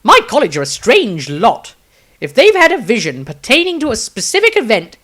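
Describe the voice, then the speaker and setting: A man voicing an excitable, scatterbrained male wizard in a fantasy audio story, chattering eagerly and earnestly. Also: high-pitched, pitched above the performer's own voice, heavily acted.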